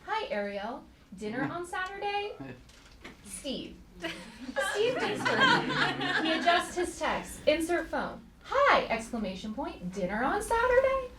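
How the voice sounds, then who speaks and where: A woman's voice: extremely high pitch, wildly swooping pitch, a little slow. A woman reading stage directions as scripted narration at a live comedy table read. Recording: echoey theater, clear background noise.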